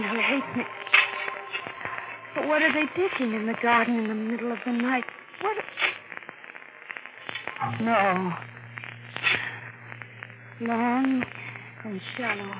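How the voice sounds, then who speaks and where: An actress playing a terrified, fragile young wife in 1940s radio drama, breathless and melodramatic. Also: female voice, on the high side, very noisy. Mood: panicked terror. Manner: breathless, melodramatic, haunted self-questioning. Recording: muffled old radio broadcast with music.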